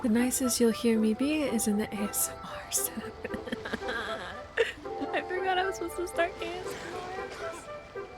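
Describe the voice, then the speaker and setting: A woman livestreamer chatting casually with a teasing, deadpan manner during a game stream. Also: sits high, unhurried. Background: game music.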